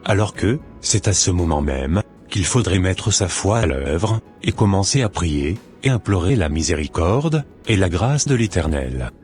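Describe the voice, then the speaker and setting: Deep-voiced church elder preaching in a cartoon, calm and admonishing, a man voicing him. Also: faint music behind. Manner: slow, sermonizing, gently admonishing.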